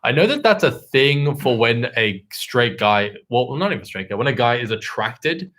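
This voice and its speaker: A man's voice: medium pitch, sing-song, normal speed, a bit bright. A man co-hosting a live stream, reading out comments and chatting in an agreeable, explaining way.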